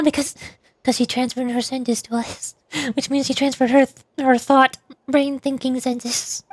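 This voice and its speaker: A woman's voice: high-pitched, dry recording. A woman streamer giving casual commentary on a game she is playing, close to the microphone. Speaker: streamer and VTuber.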